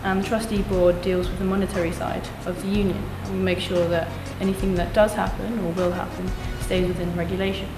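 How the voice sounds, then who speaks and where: A young woman making an earnest, confident campaign pitch as a student election candidate, restating her points in lists. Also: little pitch movement, brisk, hardly any pauses. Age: young adult. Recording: echoey room with music behind.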